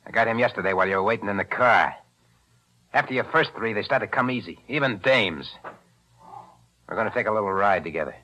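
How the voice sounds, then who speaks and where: A hard-bitten killer talks with cool, menacing calm in streetwise slang. His low voice carries a sharp, bright edge. It comes through as an old radio drama broadcast. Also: fast talker, long pauses, a little hiss or hum, low-quality recording. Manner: tough streetwise slang, coolly threatening. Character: menacing male crook, cold killer. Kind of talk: 1940s radio acting.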